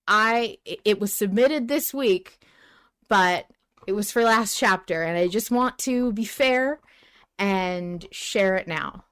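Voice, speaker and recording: A woman hosting a casual fan discussion podcast, speaking in an emphatic, passionate and halting way. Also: medium pitch, fairly bright tone, smooth. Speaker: podcast host.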